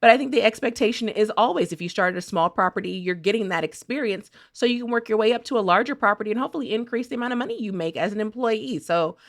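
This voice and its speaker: A woman's voice: mid-range voice, quick pace, few pauses, no echo, clean studio sound. An American woman contributing to a podcast, chatting casually and thinking aloud in an informal way. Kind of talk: casual chat.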